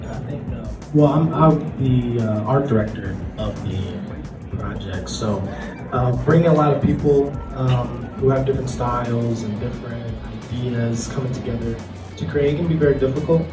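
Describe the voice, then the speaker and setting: A young man talks in a conversational, halting way on a panel. His pitch is average. The room echoes around him, the mic is distant and music plays clearly behind him. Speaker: convention panelist, art director. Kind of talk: casual panel talk.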